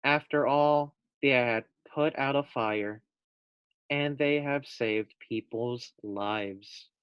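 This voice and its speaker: Gentle man reading a storybook aloud. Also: medium pitch, clear, resonant tone, dry recording, dull audio. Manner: gentle, unhurried, with friendly asides. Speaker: teacher. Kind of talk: reading a picture book aloud to first graders.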